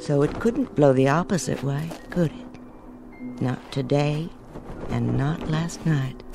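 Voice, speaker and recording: An actress in a radio drama playing a sharp, composed woman who questions in a measured, pointed way. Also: low-pitched, gravelly, heavily acted. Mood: cool, challenging. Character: sharp, composed woman coolly dismantling men's explanation. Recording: music bed under the dialogue.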